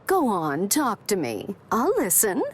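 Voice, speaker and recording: A woman's voice: medium pitch, huge pitch swings, a little slow, a little hiss or hum. A woman voicing a confident, teasing and caring big-sister character in an anime game, coaxing and candid.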